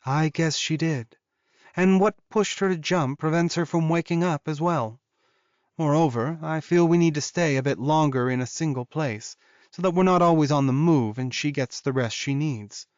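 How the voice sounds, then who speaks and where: A man voicing a caring, maternal female werewolf doctor in her mid-forties, explaining things in a measured audiobook style. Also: a little high, a little mellow, clean tone, dry recording, muffled recording, pitched above the performer's own voice, close to an everyday voice. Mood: concerned, thoughtful. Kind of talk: audiobook character dialogue.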